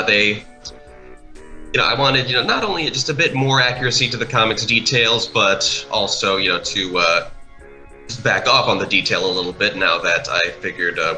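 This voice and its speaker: A man chatting casually in a rambling, halting way, like someone producing an animation project. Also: lowish voice, pushed and bright, poor audio. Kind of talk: casual chat over a speedpaint. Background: music.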